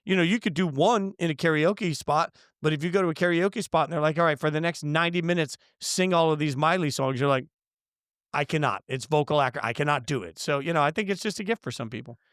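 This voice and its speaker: A man with a fairly high voice tells stories as a podcast guest, lively and full of fillers. His voice is recorded close and dry, with no room echo around it. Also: fast talker. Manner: animated storyteller, lots of fillers. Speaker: sportswriter guest. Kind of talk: casual interview storytelling.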